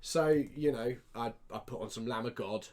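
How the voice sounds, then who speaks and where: A man's voice: mid-range voice, moderate pace. A man co-hosting a casual gaming podcast, telling jokey, sweary anecdotes and acting out the dialogue. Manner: sweary, jokey storyteller who acts out dialogue. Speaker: podcast co-host.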